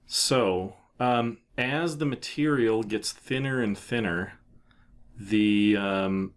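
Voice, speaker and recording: Low-voiced man giving a casual tutorial. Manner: informal, thinking aloud with trailing phrases. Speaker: software tutorial presenter. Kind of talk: casual unscripted screen-demo explanation.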